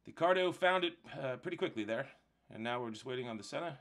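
A man's voice: mid-range voice, animated, fast talker, a bit bright. A man presenting a casual step-by-step product demonstration in a conversational, explanatory way.

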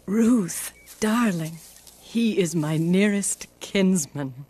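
An actress playing a kindly but insistent old mother-in-law in an animated Bible story, coaxing firmly. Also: lowish voice, drawn-out words, a little hiss or hum. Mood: curious, pleased. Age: older. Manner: coaxing, firm.

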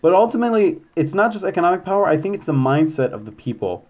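Fast-talking young man, animated. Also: high-pitched. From United States, Persian-speaking family. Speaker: guest. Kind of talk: casual podcast conversation. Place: room at home, over video call.

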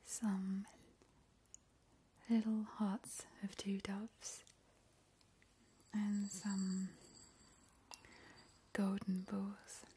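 A woman whispers close to the mic in a slow, unhurried ASMR roleplay, lingering on each word. Her voice is soft and dark in tone. She repeats little trigger words over and over, with a faint hiss of noise behind her. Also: medium pitch, level tone. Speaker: ASMR channel host. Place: indoors at a table by candlelight.

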